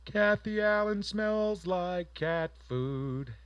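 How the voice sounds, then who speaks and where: A dull, slightly noisy recording carries a brash male prank show host. He taunts crudely, with a middling pitch that jumps around in a lively way. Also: extremely slow. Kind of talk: crude prank call banter.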